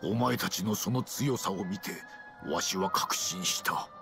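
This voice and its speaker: Gravelly, stern old king. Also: low voice, unhurried, over music. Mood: solemn, resolute. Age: older. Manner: pompous, archaic, commanding. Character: stern, dignified old king rallying his warriors. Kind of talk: dramatic fantasy game voice acting.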